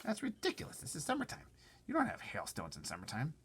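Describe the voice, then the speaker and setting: A man voicing a boastful, rude male centipede who yells insults in a shrill voice. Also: huge pitch swings, fast, husky, exaggerated voice. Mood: scoffing.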